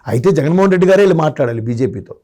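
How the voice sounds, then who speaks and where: A man's voice: fairly high pitch, lively intonation, rapid-fire, mellow. A man giving political commentary in a discussion, arguing his points through rhetorical questions. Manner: argumentative, asks rhetorical questions.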